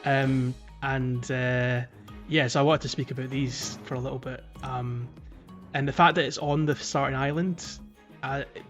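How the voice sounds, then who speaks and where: Male co-host, mid-range voice, halting informal game talk over quiet music. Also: mid-range voice, fairly even intonation. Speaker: podcast co-host. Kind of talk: casual video game podcast discussion.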